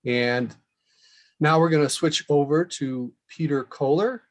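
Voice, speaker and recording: A man hosting a webinar, presenting in a measured, somewhat halting way with pauses. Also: on the high side, lo-fi sound. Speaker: host and moderator, association director. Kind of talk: webinar presentation, semi-scripted.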